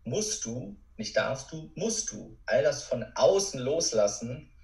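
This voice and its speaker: Male coach, middling-low pitch, earnest and emphatic podcast guest, roomy echo. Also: sing-song, slow, creaky, darkish tone. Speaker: interview guest, motivational speaker and coach. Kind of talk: reflective personal account in a podcast interview. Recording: roomy, echoing sound.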